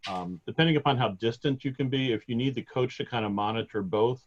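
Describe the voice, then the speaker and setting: A man on a webinar call answering questions, hesitant and self-deprecating, with a loud voice that projects. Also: medium pitch, fast talker, lo-fi sound. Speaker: sports department staff.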